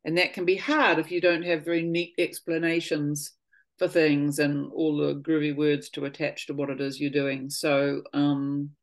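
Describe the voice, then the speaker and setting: Low-voiced earnest woman, searching phrases. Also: little pitch movement, moderate pace. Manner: earnest, searching, full of restarts and soft trailing phrases. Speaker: voice and healing workshop leader. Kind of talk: casual spiritual conversation. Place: home living room. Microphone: video call.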